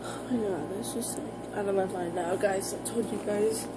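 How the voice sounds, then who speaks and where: An American woman talking casually to her viewers in an informal, halting, rambling vlog style. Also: medium pitch, over loud music. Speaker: vlogger.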